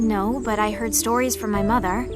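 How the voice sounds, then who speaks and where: A woman's voice: mid-range voice, fairly even intonation, normal speed, natural voice. An actress playing a proud, touchy young woman with a defensive manner in a video game. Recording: game audio with music behind.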